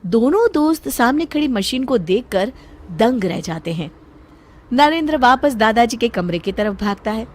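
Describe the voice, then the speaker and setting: A woman reading scripted narration for an animated moral story. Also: on the high side, normal speed.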